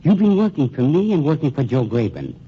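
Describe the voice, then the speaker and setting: An actor playing a suave, sinister middle-aged funeral director, calm and menacing in 1940s radio acting style. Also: male voice, fairly high pitch, a little fast, slight background noise. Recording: muffled 1940s radio recording.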